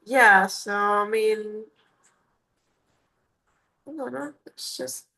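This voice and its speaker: A woman's voice: mid-range voice, extremely slow, creaky, pushed and bright, slight background noise. A woman in her thirties from Romania with a Romanian accent, answering interview questions in a casual, hesitant way with many fillers and restarts. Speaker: MMA fighter, guest.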